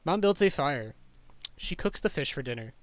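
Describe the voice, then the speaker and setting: A man's voice: on the high side, expressive, normal speed, dry recording. Man reading a picture book, dry close sound. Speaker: teacher reading aloud to kindergarteners. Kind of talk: children's picture book read-aloud.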